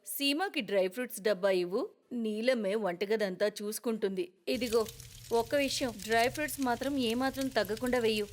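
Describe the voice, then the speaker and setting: Woman voicing middle-aged mother-in-law, higher pitch, bossy, boastful, cartoon household drama. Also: slight background noise, close to an everyday voice. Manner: instructing, boastful. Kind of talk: cartoon moral story acting.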